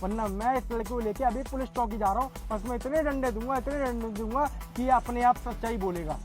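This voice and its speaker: A man's voice: very high voice, a little fast. A man acts out a stern policeman and protective older brother in a melodramatic moral-story skit. He sounds suspicious and commanding, with faint music behind his voice. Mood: stern, angry. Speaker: actor in a moral-story video. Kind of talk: amateur melodramatic skit acting.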